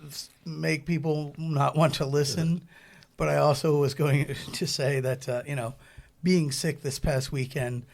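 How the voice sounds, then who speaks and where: A man's voice: fairly high pitch, fairly even intonation, a little slow, creaky, a little mellow. A man co-hosting a movie podcast while getting over a cold, rambling a little with fillers. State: congested from a cold. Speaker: podcast co-host. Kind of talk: casual podcast banter.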